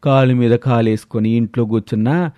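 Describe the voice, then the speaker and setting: A man's voice: mid-range voice, fairly even intonation, a little fast, mellow, no room sound, lightly acted. A man voicing a chatty, rustic older farmer neighbour in a story, folksy and resigned.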